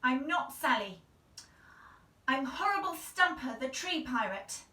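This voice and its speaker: A defiant little storybook girl acted by a woman, grumbling and dramatic in a raised pitch. The voice sounds in a very echoey room. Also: expressive, unhurried, bright, forceful tone, recorded from a distance, heavily acted. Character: cross, defiant little girl playing tree pirate.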